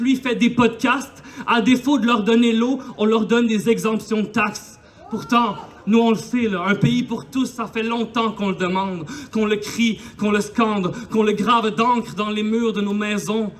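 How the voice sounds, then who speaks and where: A man's voice: high-pitched, a bit bright. A man with a Quebec French accent reciting impassioned spoken-word poetry in a colloquial, declamatory way at a public celebration. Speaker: poet. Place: outdoors in a park.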